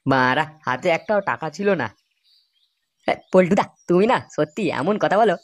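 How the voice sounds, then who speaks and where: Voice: mid-range voice, animated, moderate pace, dry recording, lightly acted. A performer voicing easygoing, crude young club loafers in a comedy cartoon, chummy and coaxing.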